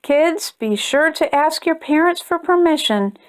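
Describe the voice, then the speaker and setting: Woman playing a folksy, slow-talking old grandpa. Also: sits high, lively intonation, voice pushed up. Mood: reassuring. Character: silly, kindly old grandfather in a storybook.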